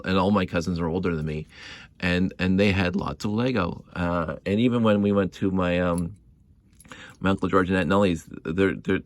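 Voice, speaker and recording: A middle-aged man telling nostalgic stories casually, rambling and halting, repeating words and often given to chuckling. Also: low voice. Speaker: hobby video creator. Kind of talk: casual rambling storytelling to camera.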